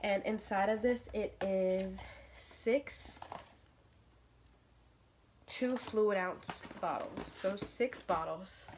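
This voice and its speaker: A woman's voice: medium pitch, very slow, long pauses, background noise. A young woman with a stuffy nose, chatting casually through an unboxing vlog in her bedroom, with lots of fillers as she rambles. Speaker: vlogger. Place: bedroom with a crib, indoors.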